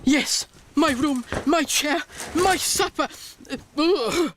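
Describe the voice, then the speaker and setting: An actor playing a repentant old miser in a radio play, speaking in an impassioned, theatrical way. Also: male voice, extremely high pitch, slow pace, a bit bright, exaggerated voice. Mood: joyful, giddy. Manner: impassioned, declamatory, pleading. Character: repentant old male miser, fearful then giddy with joy. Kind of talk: theatrical radio drama acting.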